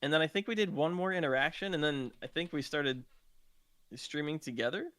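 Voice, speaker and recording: A man's voice: on the high side, a little fast, fairly bright tone, clear, resonant tone, no echo. A man telling roleplay gaming stories in a casual podcast chat. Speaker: podcast participant, game roleplay streamer.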